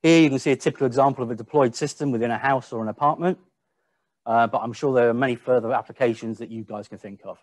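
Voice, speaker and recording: A man giving a webinar-style product presentation, speaking a little haltingly and repeating the odd word. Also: mid-range voice, steady tone, darkish tone, no echo.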